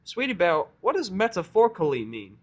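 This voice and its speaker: A man's voice: sits high, very animated, a little fast, lo-fi sound, pitched above the performer's own voice. A man voicing a naive, affectionate little girl pony with a childlike, curious, eager manner. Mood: puzzled.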